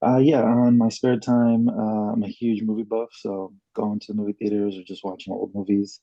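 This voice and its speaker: A man's voice: fairly low pitch, little pitch movement, normal speed, vocal fry, warm tone, no room sound, lo-fi sound. A man in his thirties casually introducing himself as a dating show contestant. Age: 30s.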